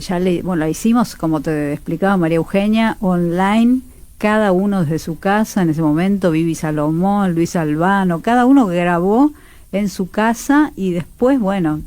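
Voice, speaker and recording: A woman's voice: on the low side, warm tone. A woman with an Argentine Rioplatense accent, speaking conversationally as a radio drama actress and teacher being interviewed.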